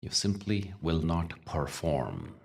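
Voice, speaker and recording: A man leading a guided meditation as a teacher, speaking gently in short phrases with long pauses. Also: sits low, very animated, vocal fry, distant mic. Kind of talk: guided meditation with spiritual talk.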